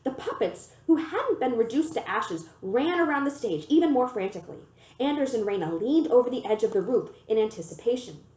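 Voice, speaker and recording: A woman reading a children's novel aloud in an expressive, storytelling manner. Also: fairly high pitch, a little fast. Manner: animated storytelling, acts out the dialogue. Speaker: library reader of children's books. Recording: echoey room.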